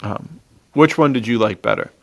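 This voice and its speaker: A man's voice: medium pitch, moderate pace, gravelly, a bit bright. An American man hosting a podcast, chatting casually and informally about films and telling anecdotes, often chuckling as he talks. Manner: chuckles often while talking; informal, slangy, says "dude" a lot. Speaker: podcast host. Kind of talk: casual film discussion and anecdotes.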